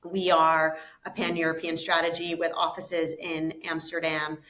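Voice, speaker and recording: A woman with an American accent, a fund co-founder answering interview questions in a measured, explanatory way, often repeating words as she goes. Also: low voice, flat delivery, fairly bright tone.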